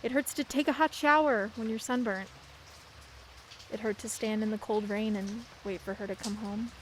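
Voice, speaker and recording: A woman's voice: on the high side, steady tone, moderate pace, noisy background, lightly acted. A woman playing a sulky teenage daughter. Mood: brooding. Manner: brooding and curt. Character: sullen teenage daughter, moody and blunt. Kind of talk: radio play acting with inner monologue.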